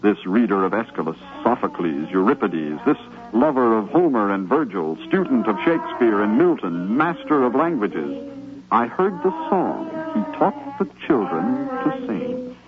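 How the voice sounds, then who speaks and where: An actor playing a devoted grandson narrating fond memories in a reverent, dramatic manner for a 1950s radio drama. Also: male voice, fairly low pitch, big pitch swings, moderate pace. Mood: reverent, tender. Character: devoted grown grandchild fondly remembering grandfather. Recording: muffled 1950s radio recording, music behind. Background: music.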